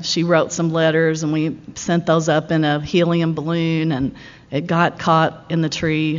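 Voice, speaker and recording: A middle-aged woman giving a candid, wry personal recovery talk at a meeting. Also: sits low. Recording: muffled recording in a large hall.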